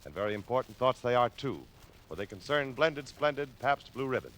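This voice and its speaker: A man's voice: medium pitch, lively intonation, a little fast, a bit bright, slight background noise, poor audio. A man announcing in 1940s radio style, reading sponsor copy with folksy, polished salesmanship.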